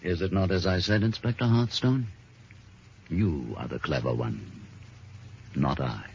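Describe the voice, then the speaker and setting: An actor playing a haughty widowed husband under suspicion, formal and theatrical, in 1950s radio drama style. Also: male voice, low voice, a little slow. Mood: smug. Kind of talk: 1950s American radio crime drama acting. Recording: muffled, noisy old radio recording.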